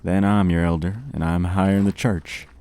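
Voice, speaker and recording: Man voicing self-righteous young suitor, pompous, preachy, raised pitch. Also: brisk, dark tone, close to an everyday voice.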